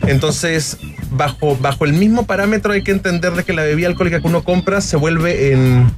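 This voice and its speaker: A man's voice: fairly high pitch, brisk, over music. A man from Peru, a bartender guest on a radio show, explaining drinks. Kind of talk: casual radio chat.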